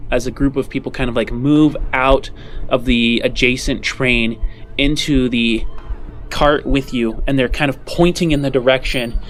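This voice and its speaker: A man's voice: mid-range voice, steady tone, a little slow, a bit bright. Man narrating a tabletop game over music. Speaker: game master. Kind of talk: improvised tabletop roleplay narration. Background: background music.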